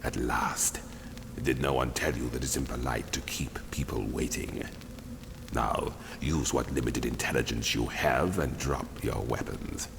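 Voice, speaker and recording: Very deep, gravelly, stern figure. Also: male voice, fairly even intonation, unhurried, noisy background, full character voice. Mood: haughty, menacing. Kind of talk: video game voice acting.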